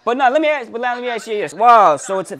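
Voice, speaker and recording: A man's voice: extremely high pitch, very animated, fast, a bit bright. A young man trolling strangers on a random video chat, mock-tough and boastful. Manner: mock-tough, teasing, gym-bro boasting. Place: small pink-walled bedroom, indoors.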